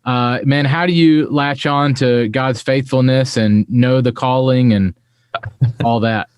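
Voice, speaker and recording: A man's voice: mid-range voice, steady tone, measured pace, clean tone, dry recording, studio quality. A man hosting a podcast, chatting in a friendly, laid-back way. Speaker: podcast host. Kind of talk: casual interview chat.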